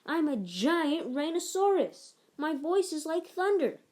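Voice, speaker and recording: A woman's voice: high-pitched, big pitch swings, a little slow, a little mellow, voice pushed up. A woman voicing an eager, imaginative little boy in a picture-book story, exclaiming playfully.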